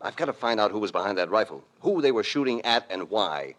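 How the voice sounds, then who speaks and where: An actor playing a cool, commanding investigator in an old radio mystery, with terse and authoritative delivery. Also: male voice, fairly low pitch, lively intonation, fast talker, a bit bright. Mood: brisk, thoughtful. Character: cool, commanding male investigator, hard-boiled and shrewd. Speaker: lead actor and narrator. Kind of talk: 1940s-50s radio detective acting. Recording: vintage radio drama recording.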